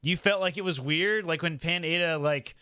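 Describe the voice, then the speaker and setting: Bright, forceful man, slightly high voice. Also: normal speed, clean tone, no echo, studio quality. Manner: rambling, emphatic, often tags phrases with "right?". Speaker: podcast panelist. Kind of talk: casual roundtable book discussion.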